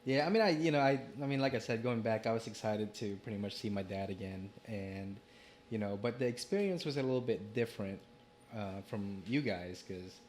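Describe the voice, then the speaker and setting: A young man from the Philippines, telling stories in a relaxed podcast chat with many fillers. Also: on the low side, fairly quick, few pauses, slight background noise. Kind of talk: casual chat.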